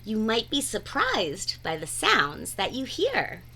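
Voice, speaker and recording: Lady reading a rhyming kids book out loud, super swoopy bright voice, sing-song, takes her time. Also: on the high side, vocal fry, breathy edge, rough recording. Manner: animated, deliberate, sing-song phrasing. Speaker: read-aloud storyteller. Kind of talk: expressive rhyming children's picture-book read-aloud.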